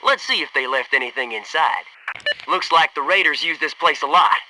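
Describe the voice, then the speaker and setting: High-pitched, cocky American soldier, acted by a man. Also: moderate pace, noisy background, close to an everyday voice. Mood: alert, focused. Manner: wry, slangy, punchy military banter. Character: cocky wisecracking young male American special forces soldier. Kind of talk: scripted video game voice lines.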